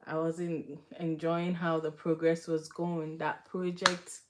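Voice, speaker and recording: A woman's voice: deep voice, level tone, takes it slowly, background noise. A woman hosting a devotional livestream, talking casually and earnestly as she shares testimony and reads from a book. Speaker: livestream host.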